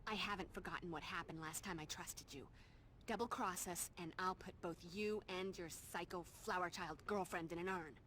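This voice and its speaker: An actress playing a bossy, tough young American vampire slayer in a game cutscene, blunt and no-nonsense. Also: female voice, on the low side, moderate pace, fairly bright tone, slight background noise, lightly acted. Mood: stern. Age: young adult. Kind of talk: video game cutscene acting.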